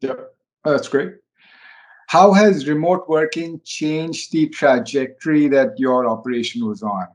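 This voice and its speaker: A man hosting a conversational business interview, speaking haltingly and often dropping in "you know". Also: a little high, poor audio.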